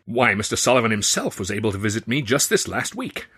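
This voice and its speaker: A man voicing a brash, cigar-chomping American theatre boss who booms bluffly and growls when crossed. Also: big pitch swings, fast talker, pushed and bright, husky, exaggerated voice. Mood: hearty.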